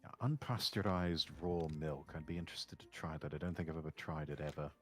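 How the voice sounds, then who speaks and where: A man guesting on a game stream, calm and dry-humored in casual chat. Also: a bass voice, fairly quick, soft background music.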